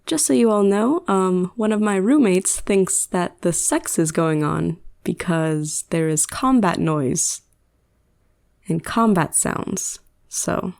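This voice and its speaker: Slow-talking woman in outtakes. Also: fairly low pitch, vocal fry, background noise. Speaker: amateur voice actor. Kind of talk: outtakes from reading a script, casual asides.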